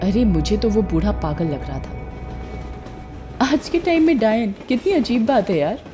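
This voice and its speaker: A woman's voice: medium pitch, big pitch swings, moderate pace, mellow, soft background music, close to an everyday voice. A woman voicing a casual, joking young woman travelling in a cartoon story. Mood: dismissive.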